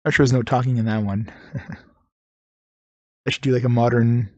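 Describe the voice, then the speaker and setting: A man's voice: medium pitch, fairly even intonation, unhurried, warm tone, dry recording, dull audio. A man giving casual let's-play commentary, slightly nasal and congested as his voice recovers, rambling with chuckles and fillers. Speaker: game streamer.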